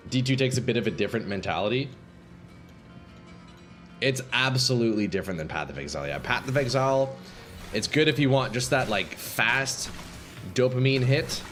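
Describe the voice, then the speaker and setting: A man streaming a game live, chatting casually with viewers, swearing and reacting loudly, his voice going a little hoarse. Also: medium pitch, lively intonation, moderate pace, long pauses. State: slightly hoarse, losing voice. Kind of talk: casual live-stream chat while playing. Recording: faint game music behind.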